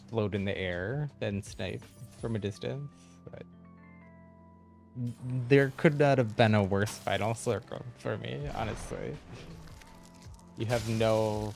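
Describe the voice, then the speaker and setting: A man's voice: on the low side, creaky, darkish tone. A man giving casual live gameplay commentary, chatty and thinking aloud as he plays. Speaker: livestream gamer.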